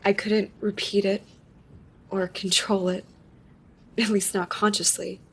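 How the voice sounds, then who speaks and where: A woman's voice: mid-range voice, little pitch movement, unhurried, slightly rough. An actress playing a shaken, wondering woman who recounts her strange experience in a confiding, conversational monologue for a live audio drama. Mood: reflective, wondering. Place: live on stage in a theater.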